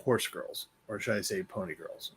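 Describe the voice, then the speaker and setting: A man's voice: low-pitched, moderate pace, breathy edge. A man co-hosting in casual comic banter, tossing in wry jokes. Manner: wry, joking. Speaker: co-host.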